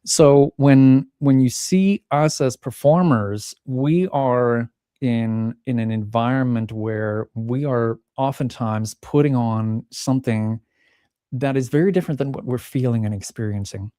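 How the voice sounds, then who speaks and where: A man's voice: medium pitch, unhurried, dark tone, dry recording, studio quality. A middle-aged man from the Czech Republic speaking as a podcast guest, thoughtful and candid, often restarting phrases and acting out remembered lines. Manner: thoughtful, many restarts, acts out remembered quotes. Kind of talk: reflective interview talk.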